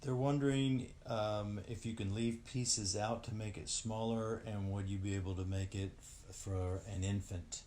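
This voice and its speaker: A man's voice: on the low side, steady tone, a little slow, hardly any pauses, warm tone. A man casually relaying questions from viewers as an off-camera helper. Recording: faint background noise.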